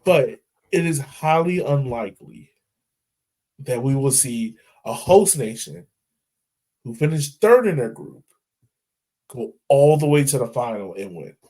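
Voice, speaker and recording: A man's voice: mid-range voice, big pitch swings, unhurried, pauses often, warm tone. A man co-hosting a sports podcast in casual chat, rambling, correcting himself mid-sentence and laughing easily. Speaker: podcast co-host.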